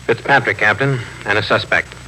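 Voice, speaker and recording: A man's voice: on the low side, normal speed, natural voice. A man playing an easygoing, deferential police detective in a squad room scene of a 1950s radio drama. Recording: noisy 1950s radio recording.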